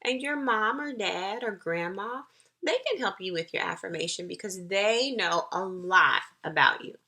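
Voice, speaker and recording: Woman school counselor talking to little kids, real slow n deliberate, bright clear-cut tone, encouraging. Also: mid-range voice. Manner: encouraging, nurturing. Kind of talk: encouraging talk addressed to young children.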